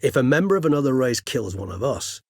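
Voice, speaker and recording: An actor playing an erudite, courteous, weary male higher vampire in a fantasy game, speaking formal, wordy, old-fashioned English in a husky voice. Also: big pitch swings, fast, no room sound, studio quality. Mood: calm, earnest. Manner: formal, wordy, archaic gentlemanly diction. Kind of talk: fantasy game character dialogue.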